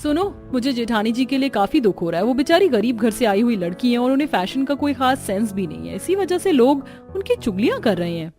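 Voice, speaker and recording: A woman's voice: on the high side, sing-song, fairly quick, hardly any pauses, darkish tone, faint music behind. A woman voicing a caring, outspoken young fashion designer in a family cartoon. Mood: sympathetic. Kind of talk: Hindi cartoon voice acting.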